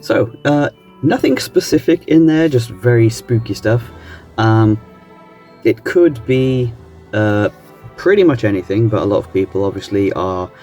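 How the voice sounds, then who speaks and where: A man hosting a reaction channel, chatting casually in a halting, musing way. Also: fairly low pitch, darkish tone, soft background music. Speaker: host of a reaction video channel. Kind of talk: casual chat reacting to analog horror videos.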